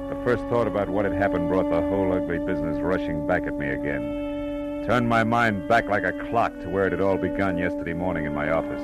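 An actor playing a tough but compassionate private detective, terse and world-weary, in 1940s radio noir. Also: male voice, deep voice. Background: dramatic music.

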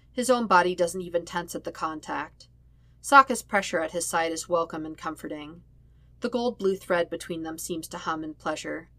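A woman narrates a story in a fairly low voice. The sound is dry, with hardly any room around her, and the delivery is that of scripted audiobook-style reading. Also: dry recording. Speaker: podfic reader of fan fiction.

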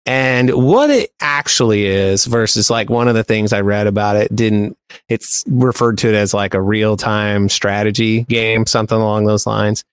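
Low-voiced man, close dry sound. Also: normal speed, clear, resonant tone, no room sound. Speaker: podcast co-host giving a news report. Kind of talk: casual pop culture podcast chat.

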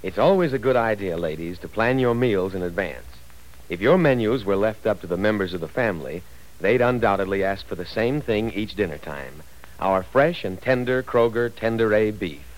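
A man's voice: fairly low pitch, lively intonation, moderate pace, poor audio. A man reading a radio commercial in a cheerful, persuasive 1940s style. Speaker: commercial announcer.

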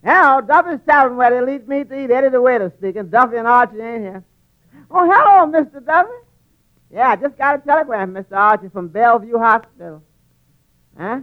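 A muffled old radio recording of a wisecracking tavern waiter with a very high-pitched voice. He is long-suffering and dry, stammering over repeated words as he lands his quips in 1940s comedy style. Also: lively intonation, brisk. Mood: amused, chatty.

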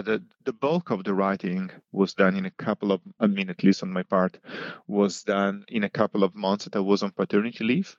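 A low-voiced man from Italy, Italian his first language, talks through how a book was written, pausing and restarting often. The sound is dull and lo-fi, close and dry with no room around it. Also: dry recording. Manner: thoughtful, many restarts and fillers. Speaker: book co-author, guest. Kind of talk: podcast interview answers.

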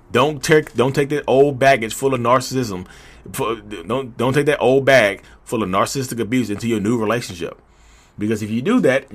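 A man hosting a podcast, talking casually and emphatically to his listeners and repeating his points for stress. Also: mid-range voice. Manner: emphatic, repeats himself, addresses listeners as y'all. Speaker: podcast host. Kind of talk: casual motivational monologue.

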